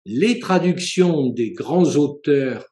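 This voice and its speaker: A man, a professor and psychoanalyst, giving a seminar lecture in a measured, explanatory way, with frequent hesitations and self-corrections. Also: a little high, lively intonation, mellow, reverberant. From France.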